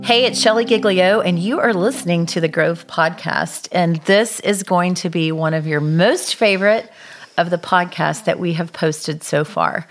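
A woman's voice: lowish voice, moderate pace, faint music behind. A woman hosting a church podcast, enthusiastic and effusive as she introduces her guests. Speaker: podcast host. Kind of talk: podcast conversation.

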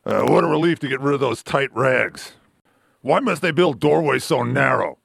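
Gravelly, grumbling giant monster man played by an actor. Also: medium pitch, normal speed, background noise. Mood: weary grumbling. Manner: grumbling, melodramatic. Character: huge gruff monstrous man, weary and scornful of the world. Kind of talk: amateur superhero radio play acting.

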